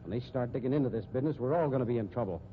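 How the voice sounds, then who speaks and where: An actor playing a tough, slangy, commanding sideshow magician and boss in a 1950s radio drama. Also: male voice, mid-range voice, lively intonation, very fast, lightly acted. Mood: hard, urgent. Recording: muffled, noisy 1950s radio recording.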